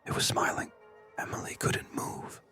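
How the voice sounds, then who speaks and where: A narrator telling a scary story in a breathy, unvoiced whisper, hushed and suspenseful, with pauses. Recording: music behind. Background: background music.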